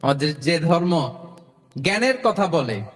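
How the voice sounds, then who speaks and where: A man's voice: a little high, lively intonation, a little slow. A Bangladeshi man preaching an Islamic sermon to an audience, emphatic and engaging, repeating phrases, posing questions and mixing in Arabic and English. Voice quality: mixes in Arabic quotations and English words. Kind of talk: sermon to a live audience, storytelling with rhetorical questions. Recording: long hall reverb.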